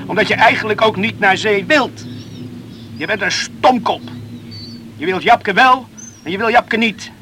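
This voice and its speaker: An actor playing a torn, brooding young islander who talks to himself in agitated self-reproach in a radio play. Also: male voice, sits high, pushed and bright, a little hiss or hum. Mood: agitated, scolding himself.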